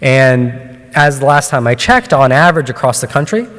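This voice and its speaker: A man, an oncologist, gives a conference talk in a measured, explanatory way, often pausing on ums and restarting phrases. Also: medium pitch, lively intonation, a bit bright. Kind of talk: conference presentation. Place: lecture hall. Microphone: microphone at a lectern. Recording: long hall reverb.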